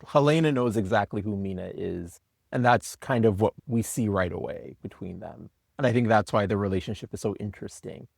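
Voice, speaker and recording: Gravelly, lowish-voiced man in podcast discussion. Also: darkish tone, no echo, clean studio sound. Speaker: podcast guest, scriptwriter. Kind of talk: conversational behind-the-scenes discussion.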